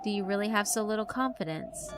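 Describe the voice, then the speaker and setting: A woman's voice: mid-range voice, lively intonation, fast talker, noisy background, natural voice. A woman voicing a taunting female yokai who haunts an art room in a horror visual novel, with a mocking, challenging manner. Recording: music behind the voice.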